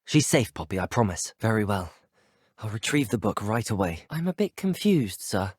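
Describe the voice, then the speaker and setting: Game hero, male, teenage wizard student: British, earnest and polite, animated intonation, faint husk, dry studio sound. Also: fairly low pitch, breathy edge, no echo. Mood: polite, curious. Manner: polite, articulate, measured. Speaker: player character in a video game. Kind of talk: video game voice acting, scripted lines.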